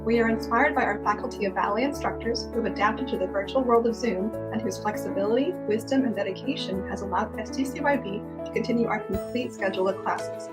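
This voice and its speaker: A woman's voice: on the high side, fast talker, hardly any pauses, rough recording. A woman giving a scripted address to viewers as an artistic director. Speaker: ballet school artistic director. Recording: loud music under the voice. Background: loud music.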